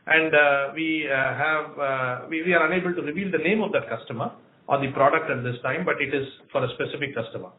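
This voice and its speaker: A man answering questions as a company executive on an earnings call, speaking in a halting, measured, explanatory way. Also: on the high side. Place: room on a conference call. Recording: roomy, narrow phone-like line with faint noise.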